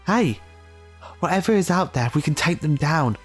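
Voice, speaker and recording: A reader voicing a fiery, excitable and protective young companion in an audiobook. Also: medium pitch, moderate pace, faint music behind, pitched above the performer's own voice, close to an everyday voice. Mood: defiant. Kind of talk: dramatic audiobook character voice.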